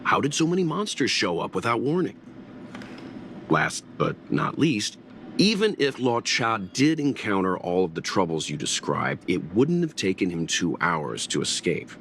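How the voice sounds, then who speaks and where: Brief: game role, learned gentleman sleuth, low voice, measured and courteous, expressive intonation. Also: a little hiss or hum. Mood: skeptical, reasoned. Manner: measured, polite, precise. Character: composed, learned older gentleman investigator, courteous and analytical. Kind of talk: game voice acting.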